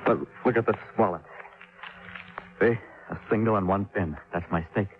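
An actor in a 1950s radio drama playing a nervous, shifty male fugitive who talks haltingly and evasively. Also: lowish voice, a little hiss or hum, lightly acted. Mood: nervous, confiding. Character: nervous, shifty male fugitive killer feigning humble harmlessness. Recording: tinny, phone-like 1950s radio recording.

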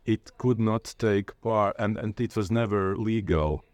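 A man with a low voice and a Latvian accent speaks informally as an interview guest. He is hesitant and often searches for the next word. The sound is dry, with very little room in it. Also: darkish tone, no room sound. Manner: hesitant, halting, groping for words. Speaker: interviewee, playwright and literature lecturer.

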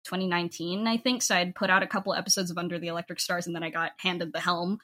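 A young adult woman talking casually and emphatically in an interview as a podcast creator, with an informal, animated way of speaking. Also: lowish voice, quick pace. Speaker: podcast network head and audio drama writer.

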